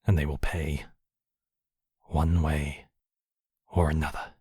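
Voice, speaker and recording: Demon king for intimate ASMR roleplay male actor: cavernously low, husky breathy voice, possessive and courtly, threatening to others yet gently sheltering, measured delivery. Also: level tone, studio quality, heavily acted. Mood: quietly furious. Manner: formal, courtly, measured phrasing with soft breathy drops. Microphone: close microphone, dry.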